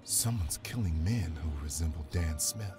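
Actor as a low-voiced, world-weary detective. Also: male voice, moderate pace, darkish tone. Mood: dry. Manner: dry, sardonic. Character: world-weary, dry-witted, loyal male police detective. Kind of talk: audio drama acting. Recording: prominent music under the dialogue.

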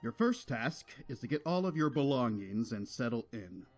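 A man playing a regal unicorn king and protective father in a cartoon, speaking in a grand, measured way. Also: medium pitch, very animated, darkish tone, close to an everyday voice. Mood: stately. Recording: music under the voice.

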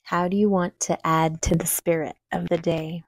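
A woman leading a guided meditation with calm, soothing, unhurried instruction. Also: on the low side, dry recording, low-quality recording.

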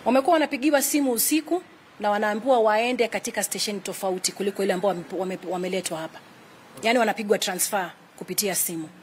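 Fast-talking Kenyan woman, formal and measured. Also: medium pitch, slight background noise. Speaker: spokesperson for a retired president's office. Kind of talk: press conference answers. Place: indoor room with flags, press briefing.

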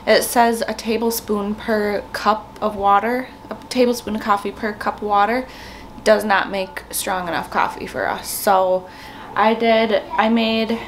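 A woman in her thirties with a raspy morning voice, chatting casually to a handheld camera as she vlogs her day at home. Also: medium pitch, little pitch movement, measured pace, slight background noise. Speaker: family vlogger and mother. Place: rooms at home, indoors. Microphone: camera at arm's length, sometimes set down.

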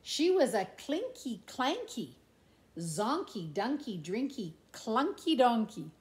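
Middle-aged female storyteller, slow and expressive picture-book delivery, playful sing-song lilt, roomy home recording. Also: a little high. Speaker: grandmother reading a picture book to grandchildren. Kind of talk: animated children's storybook read-aloud with asides. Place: indoors in a room at home. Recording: echoey room.

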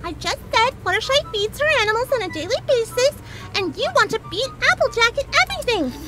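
A woman voicing a bubbly, naive young pink cartoon pony who rambles excitedly. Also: very high voice, a little fast, pitched above the performer's own voice. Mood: blithely chatty. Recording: loud music behind the voice.

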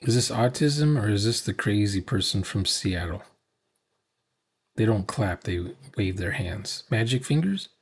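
A man's voice: lowish voice, expressive, measured pace, slightly rough. A man in his sixties giving casual, unscripted reaction commentary in a sarcastic, grumbling way. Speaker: reaction video host. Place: small cluttered room at home. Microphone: desk mic, unfiltered.

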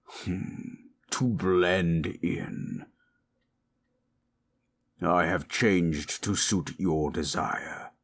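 A man voicing a proud, possessive forest monster in human shape, gravelly and husky, speaking in stilted, imperious phrases for an audio roleplay. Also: sits low, a bit bright, heavily acted. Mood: curious, teasing. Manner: slow, imperious, formal. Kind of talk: dramatic audio roleplay, stilted formal speech.

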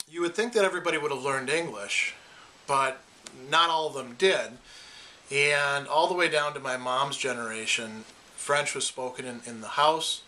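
A man's voice: medium pitch, bright, forceful tone, a little hiss or hum, poor audio. A man from Wisconsin with an Upper Midwest American accent, talking casually to camera as a philosophy lecturer and often restarting his phrases. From Wisconsin, USA. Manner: thoughtful, repeats words as he restarts. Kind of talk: casual personal talk to camera.

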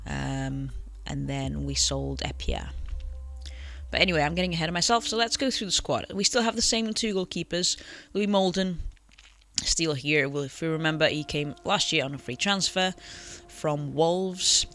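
A man's voice: fairly high pitch, moderate pace, gravelly, sharp tone. A man with a cold, chatting casually through a football management game run with many fillers and self-corrections. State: has a cold. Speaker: game channel host. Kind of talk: casual gameplay commentary. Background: faint background music.

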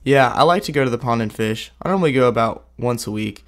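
Young teenage boy, mid-pitched voice. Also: lively intonation, fast, fairly bright tone. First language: Swiss German learned in early childhood alongside English. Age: young teen. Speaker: student interviewee. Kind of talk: casual interview answers.

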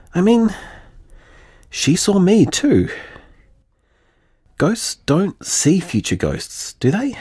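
An actor playing an anxious, frustrated, grieving man, halting and questioning as he vents in an audio drama voicemail. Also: medium pitch, a little mellow, slightly rough, dry recording, studio quality, heavily acted.

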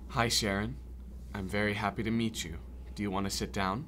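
A man's voice: lowish voice, fairly even intonation, fairly quick, a bit bright, slight background noise, natural voice. A man playing an interviewer who presents recordings in scripted documentary-style narration. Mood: composed.

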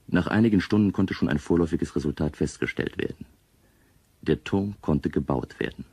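An actor playing a reflective male inventor, narrating his story in a measured, literary way for a sci-fi radio drama, with a husky voice. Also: low voice, dark tone, dry recording. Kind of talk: scripted first-person radio drama narration.

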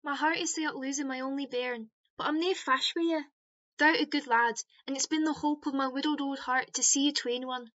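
Woman acting a shrill, bright old Scottish widow. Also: steady tone, brisk, highs cut off. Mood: surprised, doting. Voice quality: broad rustic dialect. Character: old widowed country mother, doting, pious, kindly. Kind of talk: farcical radio play acting.

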